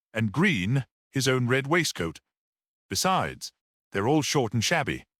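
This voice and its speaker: A man voicing a mild, perceptive priest-detective in a story, grave, measured and almost dreamy as he reasons. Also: medium pitch, dry recording, studio quality, close to an everyday voice. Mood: calm, assured.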